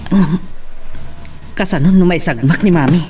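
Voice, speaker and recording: A man's voice: sits high, moderate pace, darkish tone, a little hiss or hum, natural voice. A man playing a troubled, earnest son of a powerful family in a radio-style drama.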